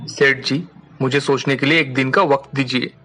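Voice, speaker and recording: A man voicing a polite, respectful young man of humble means in an animated moral story. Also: medium pitch, normal speed, soft background music, lightly acted. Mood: respectful, troubled.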